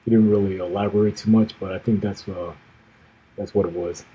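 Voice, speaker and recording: Soft-spoken, husky man. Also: on the low side, fairly even intonation, rough recording. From grew up in the United States. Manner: measured, many "uh" pauses, trailing off softly at phrase ends. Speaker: podcast guest, former navy officer. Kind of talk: conversational interview answers.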